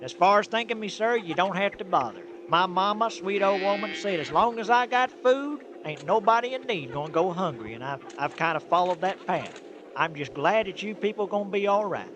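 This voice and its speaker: Friendly country hauler, Southern US accent, rambling, high voice, comic radio drama. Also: male voice, animated, fairly quick, muffled recording. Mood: kindly, folksy. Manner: rambling, homespun. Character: friendly, folksy, good-natured country trucker. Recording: noisy radio drama recording.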